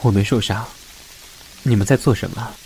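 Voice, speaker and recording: A man's voice: fairly low pitch, fairly even intonation, moderate pace, a little mellow, a little hiss or hum, close to an everyday voice, poor audio. An actor playing a hurt, sarcastic young man betrayed in love, in an audio drama. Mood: cold.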